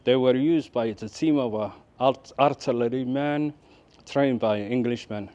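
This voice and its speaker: A man's voice: mid-range voice, a little slow, dark tone, dull audio. A man from Birmingham, UK, chatting casually as a travel vlogger, hesitant and often repeating himself. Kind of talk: casual vlog chat and narration. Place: out and about in a city. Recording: busy street and cafe chatter behind.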